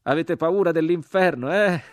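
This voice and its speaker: A man's voice: medium pitch, expressive, unhurried, husky, dry recording, voice pushed up. A man voicing a bitter, scornful crucified man in a dramatic literary reading, hurling taunting, declamatory questions. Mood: enraged, mocking. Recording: voice over music.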